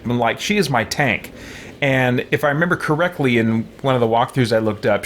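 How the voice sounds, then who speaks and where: A man's voice: mid-range voice, a bit bright, a little hiss or hum. A man hosting a roleplay let's play, thinking aloud in casual commentary. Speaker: gaming channel host.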